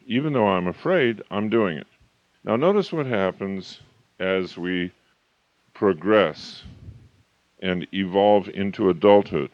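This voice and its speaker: Emphatic lower-voiced man, lively motivational talk. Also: dry recording. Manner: emphatic, with dramatic slowdowns on key points. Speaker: coach and author. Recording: slightly muffled, dry recording.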